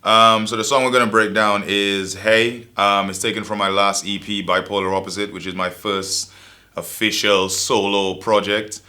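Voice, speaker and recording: A man's voice: lowish voice, flat delivery, normal speed, bright, forceful tone. A young man from Saint Lucia with a Saint Lucian and East London accent, a rapper chatting in a laid-back, rambling way in an interview. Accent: Saint Lucian mixed with East London. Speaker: rapper and songwriter, guest. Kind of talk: casual interview talk.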